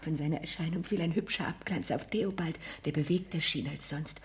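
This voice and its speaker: An actress as an excitable woman confidante in a sixties German radio drama. She speaks with theatrical urgency and romantic rapture, meddling and intimate, slipping into breathy whispers. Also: low voice, fairly quick, close to an everyday voice. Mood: excited, rapturous. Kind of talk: 1960s German radio play acting.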